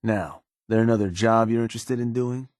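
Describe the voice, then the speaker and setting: An actor playing a shrewd, laid-back raider advisor to a gang boss in a video game, with a casual, deferential manner and a husky voice. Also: male voice, on the low side, steady tone, fairly quick, darkish tone, dry recording, studio quality, close to an everyday voice. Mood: businesslike. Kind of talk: video game character dialogue.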